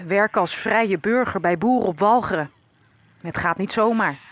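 A woman's voice: mid-range voice, moderate pace, slight background noise, lightly acted. An actress playing an earnest freed captive woman who narrates her own story in a radio drama. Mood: earnest, reflective. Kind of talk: dramatic first-person narration.

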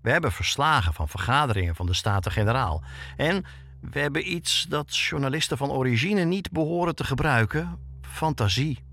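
A man's voice: medium pitch, animated, normal speed, a bit bright. A man hosting a podcast with scripted documentary narration, told like a story. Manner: storytelling, with rhetorical questions. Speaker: podcast host and reporter.

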